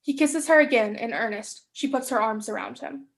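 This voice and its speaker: A woman's voice: fairly high pitch, moderate pace, vocal fry. A young adult woman reading stage directions as scripted narration for a play.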